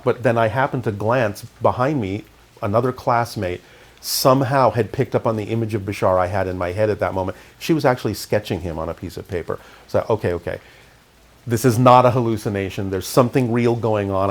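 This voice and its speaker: A middle-aged man, raised in California, calmly recounting his experiences as an interview guest. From Canada, raised in California. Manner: calm, measured, low-key delivery. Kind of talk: reflective interview storytelling.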